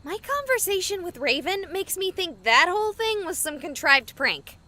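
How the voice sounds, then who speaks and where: A woman's voice: very high voice, very animated, moderate pace, sharp tone, full character voice. An actress voicing a skeptical, exasperated young female game streamer in a visual novel. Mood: teasing.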